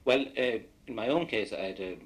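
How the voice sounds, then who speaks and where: Deep husky Irish man recalling stories. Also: brisk. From Ireland. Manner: anecdotal, rambling recollection. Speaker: guest. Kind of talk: radio discussion.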